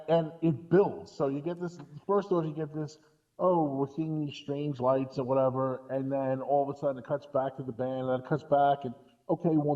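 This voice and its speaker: Mellow-voiced man chatting, slightly dull audio. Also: a little high. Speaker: podcast guest co-commentator. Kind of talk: casual discussion.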